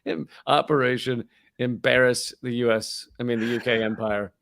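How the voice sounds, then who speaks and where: A man's voice: medium pitch, fairly even intonation, normal speed, vocal fry, a bit bright, dry recording. Wry man on a dry podcast mic. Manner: wry, rambling, laughs between lines. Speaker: podcast co-host. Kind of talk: casual comedy chat.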